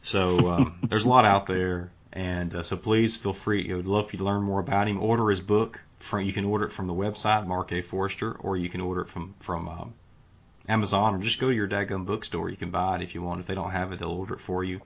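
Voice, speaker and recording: A man's voice: low-pitched, little pitch movement, fast, creaky, lo-fi sound. A tired male podcast host talking casually late at night, folksy and rambling with restarts. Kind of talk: casual conversation.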